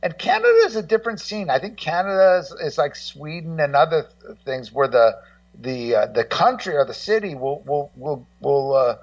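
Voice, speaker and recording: A man chatting casually in an interview, rambling and blunt, often halting and repeating words. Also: a little high, very animated, dry recording. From Los Angeles, United States. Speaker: guest co-host, documentary maker. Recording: dull, muffled, slightly distant sound.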